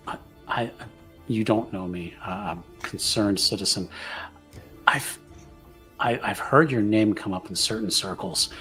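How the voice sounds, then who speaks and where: A man voicing an earnest, cautious tavern-goer in a tabletop roleplaying game, polite and halting, often repeating words. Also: on the low side, fairly bright tone. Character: soft-spoken, earnest male tavern regular, cautiously warning.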